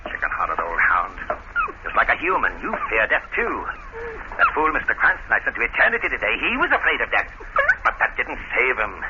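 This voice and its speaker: An actor playing a cold-blooded yet fearful killer in melodramatic 1930s radio style. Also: male voice, mid-range voice, huge pitch swings, fairly quick. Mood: gloating, scornful. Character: cold-blooded, fearful male killer, gloating then panicking. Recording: noisy, muffled 1930s radio recording.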